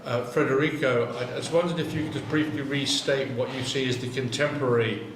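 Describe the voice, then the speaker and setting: A man's voice: medium pitch, steady tone, a little fast. A man at an academic workshop pressing a presenter on his question, bluntly and persistently after a hesitant start. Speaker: workshop participant. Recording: echoey room mic from afar with noise.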